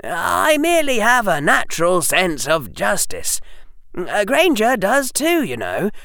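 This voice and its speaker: A man's voice: sits high, wildly swooping pitch, unhurried, pushed and bright, dry recording, clean studio sound, pitched above the performer's own voice, heavily acted. A man voicing a clever, mild-mannered teenage boy wizard who sounds innocent but sly.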